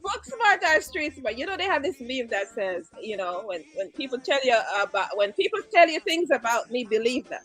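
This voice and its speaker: A woman's voice: sits high, very animated, normal speed, few pauses, a bit bright, soft background music. A Jamaican woman hosting a casual live-stream interview, laughing often as she talks. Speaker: host.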